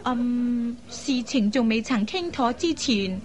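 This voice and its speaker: A woman acting a lively, headstrong young matchmaking sister in a radio drama, with a chatty, coaxing manner. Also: fairly high pitch, slow pace, some chatter behind. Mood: playful, insistent. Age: young adult. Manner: coaxing, chatty, expressive with sighs and particles.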